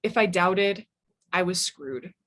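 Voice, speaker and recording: A woman, an author, giving an expressive reading of a personal memoir. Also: fairly low pitch, slow pace. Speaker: memoirist guest reading from their book.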